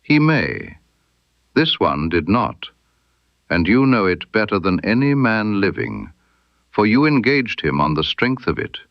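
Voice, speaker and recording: A man voicing a stern, incisive detective in an audiobook mystery, precise and emphatic, with dramatic pauses. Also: on the low side, big pitch swings, no room sound, dull audio. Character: stern, incisive male detective laying out his case.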